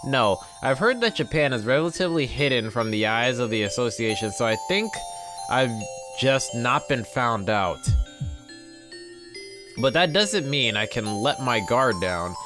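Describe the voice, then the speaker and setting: Man reading game text aloud, lively pitch. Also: a little slow, over music. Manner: informal, reacts to the text he reads. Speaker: gameplay video host. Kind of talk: reading visual novel text aloud with casual asides.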